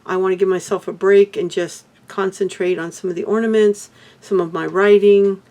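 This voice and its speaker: An older woman from Texas, hoarse, chatting casually to camera in a rambling way about her crafts and life. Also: mid-range voice, fairly even intonation, moderate pace, mellow. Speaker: beadwork vlogger.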